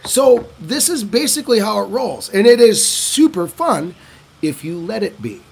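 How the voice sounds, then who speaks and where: A man casually answering viewer questions in a vlog, telling stories in an animated way and acting out other people's lines. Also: moderate pace, creaky, breathy edge. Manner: animated storyteller who acts out locals' lines in a higher voice. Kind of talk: casual talking-head Q&A.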